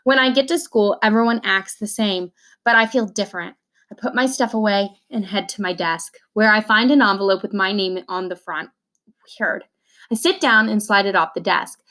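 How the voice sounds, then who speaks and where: A woman reading a children's novel aloud in an expressive way, acting out the dialogue as she goes. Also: medium pitch, moderate pace.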